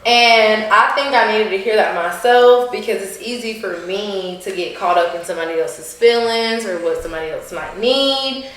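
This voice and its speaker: A woman's voice: medium pitch, normal speed, few pauses, fairly bright tone. A woman hosting a podcast in casual chat, frank and teasing, often breaking into laughs. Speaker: podcast host. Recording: echoey room.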